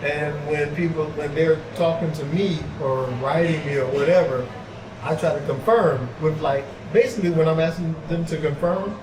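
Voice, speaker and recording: A middle-aged man from the United States, chatting casually as an interview guest, animated and full of fillers. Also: a little high, normal speed. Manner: animated storyteller, repeats fillers like "like". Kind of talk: casual chat. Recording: echoey room with background noise.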